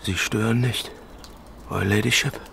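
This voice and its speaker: Radio play role: plain gamekeeper, fairly low, clipped, respectful and guarded, slight ambience behind. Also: male voice, fairly even intonation, a little slow, gravelly, pushed and bright, a little hiss or hum, heavily acted. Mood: guarded, deferential. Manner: terse, formally deferential. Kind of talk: radio drama acting.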